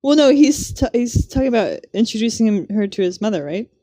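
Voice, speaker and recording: A woman's voice: on the high side, animated, a little fast, warm tone, dry recording. A woman co-hosting a casual book-discussion podcast, talking in a musing, tentative way. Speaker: podcast co-host.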